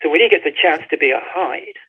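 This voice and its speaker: A man's voice: fairly high pitch, animated, a little fast, husky. Man on a tinny phone line. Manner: emphatic storytelling, acts out quoted dialogue. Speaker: author guest. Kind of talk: conversational explanation of a story. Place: remote call.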